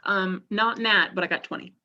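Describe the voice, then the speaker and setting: A woman playing a tabletop game, reacting quickly and casually. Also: mid-range voice, sharp tone. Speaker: player. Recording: muffled lo-fi mic.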